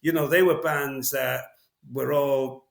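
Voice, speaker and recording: A middle-aged man with a Welsh accent talks through his past in a relaxed interview, looping back with fillers and half-finished restarts. His voice sits at an ordinary middle pitch. The sound carries a noticeable room echo. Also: slow, gravelly. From Wales. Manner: rambling, frequent fillers and restarts. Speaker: guest, veteran drummer. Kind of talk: casual interview conversation.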